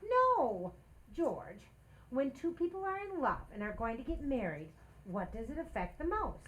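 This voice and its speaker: Expressive high-voiced woman playing a romantic radio housewife. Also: unhurried, heavily acted, poor audio. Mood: exasperated, hinting. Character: Liz, hinting, romantic, exasperated young housewife. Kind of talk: old-time radio comedy acting.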